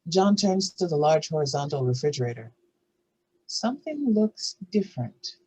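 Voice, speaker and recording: Husky woman reading stage directions. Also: deep voice, big pitch swings, moderate pace, mellow, low-quality recording. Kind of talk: scripted narration.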